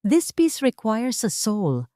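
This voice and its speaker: A composed, enigmatic woman of an audio melodrama, played by a woman narrator. She speaks calmly and deliberately, with veiled danger in a dry, close sound. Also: a little high, dry recording, pitched above the performer's own voice, exaggerated voice. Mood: calm, veiled threat. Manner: calm, measured, mysterious. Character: calm, mysterious wronged woman with veiled menace.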